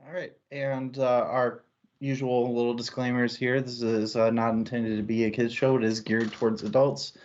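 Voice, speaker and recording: A man's voice: medium pitch, level tone, normal speed, darkish tone, muffled recording. A man hosting a book discussion livestream as one of two co-hosts. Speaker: co-host of a book discussion show. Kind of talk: livestream hosting, part casual, part prepared intro.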